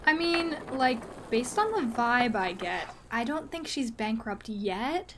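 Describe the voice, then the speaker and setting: A woman's voice: on the high side, expressive, takes it slowly, background noise. A woman playing a snarky young science show host in a scripted comedy, casual and slangy. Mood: sardonic.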